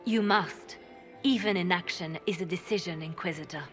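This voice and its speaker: A woman's voice: fairly low pitch, normal speed, fairly bright tone, lightly acted. A woman playing a cool, ruthless spymaster. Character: shrewd female spymaster, calm and ruthless. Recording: game dialogue over music.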